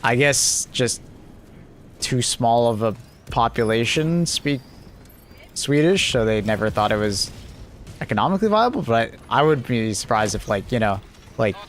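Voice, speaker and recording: Young guy streaming games, rambly and chill, mid pitch, lots of "like". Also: slight background noise. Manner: rambling, full of "like" and restarts. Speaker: livestream host. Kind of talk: casual chat while gaming.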